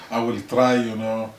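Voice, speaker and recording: A man's voice: mid-range voice, slight background noise. A man answering interview questions hesitantly, searching for his words in English. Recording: distant mic in an echoey room.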